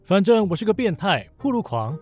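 A man's voice: high voice, sing-song, a little fast, darkish tone, faint music behind. A man playing a dry, teasing young CEO. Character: cool, sardonic young male CEO. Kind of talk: audio drama acting.

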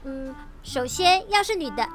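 A woman's voice: sits high, lively intonation, unhurried. A woman voicing a cheerful, talkative unemployed young woman who gets flustered when teased, speaking. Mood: chatty, excited. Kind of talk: amateur audio drama acting. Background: background music.

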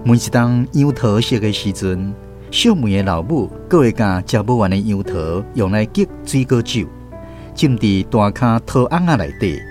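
A man's voice: lowish voice, expressive, moderate pace, darkish tone, faint music behind. A man with a Taiwanese accent giving scripted story narration as the narrator and host of a radio drama.

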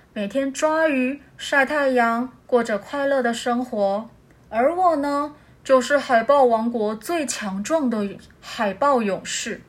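A woman voicing a big boastful male seal in a storybook, shouting his words loudly. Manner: loud, booming exclamations.

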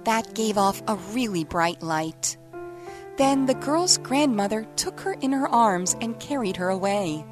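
A woman reading a short story aloud in a slow, clear, scripted narration. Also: fairly high pitch, animated, music in the background. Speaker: story reader.

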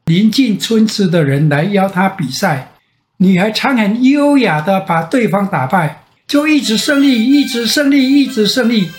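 Slow older Taiwanese grandfather storyteller, roomy sound. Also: high voice, lively intonation. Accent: Taiwanese Mandarin. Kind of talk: bedtime fairy-tale narration. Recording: roomy echo.

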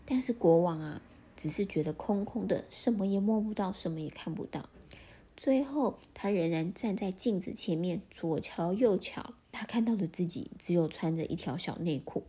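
A woman narrating a fairy tale for children in a gentle, expressive storytelling manner. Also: lowish voice, moderate pace, slightly rough.

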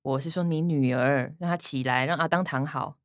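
An impatient elder sister played by an actress in a radio drama, with a Taiwanese accent. Her voice is fairly low and closely recorded, curt, demanding and suspicious. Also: moderate pace, dry recording, clean studio sound, close to an everyday voice. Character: blunt, impatient, suspicious elder daughter, adult.

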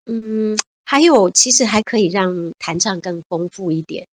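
A woman's voice: medium pitch, normal speed, slight background noise. A woman in her fifties chatting cheerfully as an interview guest, laughing often and repeating words. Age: 50s. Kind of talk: casual interview chat.

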